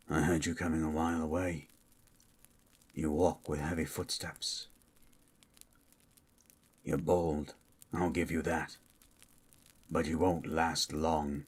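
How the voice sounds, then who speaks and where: Casting: male actor for a menacing hired monster slayer, fantasy audio roleplay. Husky, extremely deep, terse and cold, with flat delivery. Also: full character voice. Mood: taunting, menacing. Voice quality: husky, very low. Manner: slow, deliberate, terse, coldly interrogating. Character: grim, menacing male monster hunter for hire, calmly threatening. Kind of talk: improvised ASMR fantasy roleplay.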